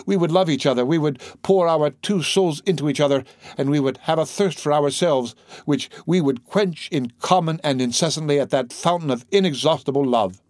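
Man doing anguished priest, obsessive lover, pleading, theatrical, fairly high, dry sound. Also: normal speed, darkish tone, no room sound, close to an everyday voice. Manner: impassioned, pleading theatrical declamation. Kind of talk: audiobook character reading.

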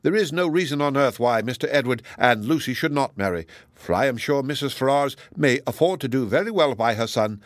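A man's voice: mid-range voice, lively intonation, fairly quick, no echo, clean studio sound, close to an everyday voice. A man voicing a chatty, good-hearted older matron in an audiobook, gossiping in a rambling, exclamatory and indignant way. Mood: indignant, eager. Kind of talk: audiobook character dialogue.

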